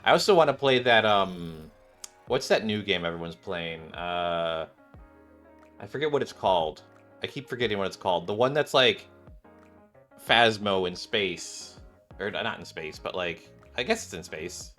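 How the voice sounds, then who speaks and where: A man's voice: sits low, expressive, moderate pace, a bit bright. A man streaming a video game, chatting casually and muttering to himself in a rambling way as he plays. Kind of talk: casual live stream chat over gameplay. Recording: game music playing behind the voice. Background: video game music.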